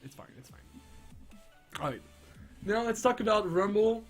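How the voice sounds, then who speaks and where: A young man with a sick, strained voice, rambling excitedly through game patch notes with lots of restarts. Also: high voice, moderate pace, soft background music. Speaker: gaming streamer. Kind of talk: casual patch-notes commentary.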